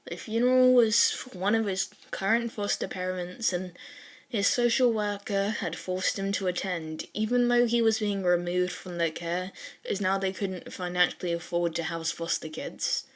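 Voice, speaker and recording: A woman reading fan fiction aloud as an expressive amateur narrator, with casual swearing. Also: mid-range voice, normal speed.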